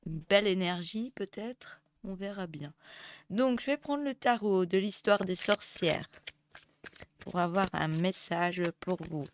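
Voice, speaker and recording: A woman with a cold and a hoarse voice, giving a casual tarot reading with many hesitations and restarts. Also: medium pitch, a little slow, a little hiss or hum. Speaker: tarot reader. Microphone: close mic. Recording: dry close mic.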